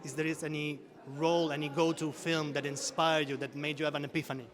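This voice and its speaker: A man interviews actors with short, direct questions. His voice sits a touch high for a man. Crowd chatter carries behind him. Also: little pitch movement, fast.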